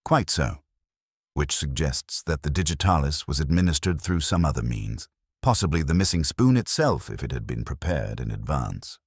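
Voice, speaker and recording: A man voicing a thoughtful, dryly observant police inspector in an audio mystery, measured and formal. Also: rumbling low, very animated, breathy edge, no echo, studio quality, full character voice. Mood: pondering.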